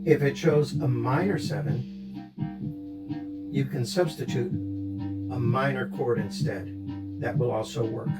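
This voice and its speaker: A man's voice: mid-range voice, takes it slowly, pauses often, over loud music, low-quality recording. A man presents an instrument tutorial, explaining things plainly and step by step. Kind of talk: explanatory music gear tutorial. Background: electronic chord instrument being played.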